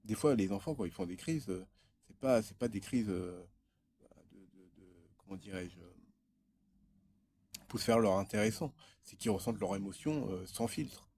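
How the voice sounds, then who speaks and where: Halting, soft-toned French man in his thirties. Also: on the low side, animated, a little hiss or hum. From France. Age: 30s. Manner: hesitant, many fillers and restarts. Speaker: vlogger. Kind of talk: rambling personal monologue to camera.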